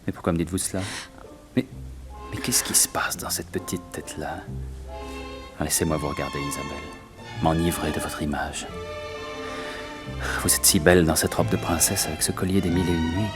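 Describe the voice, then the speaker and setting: A worldly, romantic gentleman thief in an old French radio serial. His voice is very low and his phrasing is courtly and tender, with prominent music behind him. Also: fairly even intonation, normal speed, pauses often. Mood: tender, wistful.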